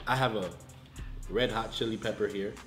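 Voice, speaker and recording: A man's voice: lowish voice, steady tone, normal speed. A young man hosting a challenge vlog in playful banter, with a dramatic, exclamatory way of talking. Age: young adult. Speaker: vlog host. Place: indoor room at home, at a table. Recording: roomy indoor echo, music underneath. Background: music.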